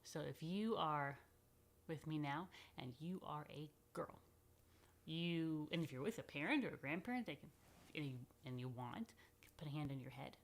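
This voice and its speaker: A woman's voice: low voice, moderate pace. A woman rabbi hosting a children's story time, speaking informally and playfully straight to the children in a chatty way.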